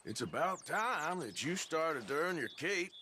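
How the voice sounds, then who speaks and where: An actress playing a no-nonsense young mother on the frontier, speaking briskly and firmly in a game cutscene. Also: low voice, lively intonation, sharp tone, a little hiss or hum, heavily acted. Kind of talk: video game cutscene acting.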